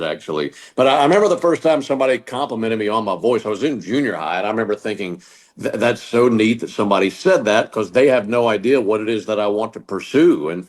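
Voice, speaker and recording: Deep southern mississippi guy, sportscaster, earnest and thoughtful, trips over words sometimes. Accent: Southern American, Mississippi. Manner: earnest, reflective, some stammered restarts. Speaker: baseball broadcaster, guest. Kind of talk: interview answers.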